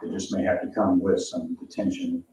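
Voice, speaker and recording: A man's voice: sits low, little pitch movement, moderate pace, mellow, a little hiss or hum. A man giving an informal technical explanation at a board meeting. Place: echoey meeting room, picked up from afar. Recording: roomy, distant, muffled meeting audio.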